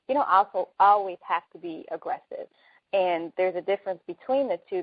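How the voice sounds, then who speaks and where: Soft-spoken lady hosting a chat, low-ish voice, kinda muffled dry recording. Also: no room sound. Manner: soft-spoken, mild-mannered. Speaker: host. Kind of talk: casual conversation.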